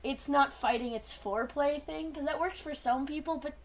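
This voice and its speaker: A woman's voice: high voice, moderate pace. A young woman co-hosting a fan fiction show, chatting casually with friends in a joking, opinionated way. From Alaska, USA.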